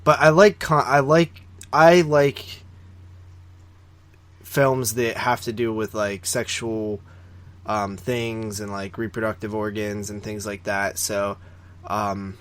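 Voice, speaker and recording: A man's voice: on the low side, steady tone, slow, fairly bright tone, clean tone. A man co-hosting a podcast, talking about films in a casual, rambling and opinionated way, often repeating words for emphasis. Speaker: podcast co-host reviewing horror films. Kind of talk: casual conversational film discussion.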